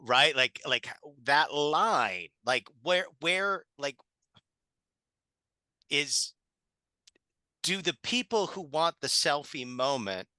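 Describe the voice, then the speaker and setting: A man in a casual panel discussion, thinking aloud in a halting way. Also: medium pitch, sharp tone, smooth, dry recording. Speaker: theater artist on a panel.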